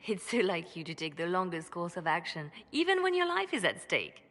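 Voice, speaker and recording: An actress playing a pragmatic, ruthless spymaster in a video game, with a pointed, assured manner. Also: female voice, on the low side, very animated, brisk, a bit bright. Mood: chiding. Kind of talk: video game cutscene acting. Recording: long reverberant hall echo.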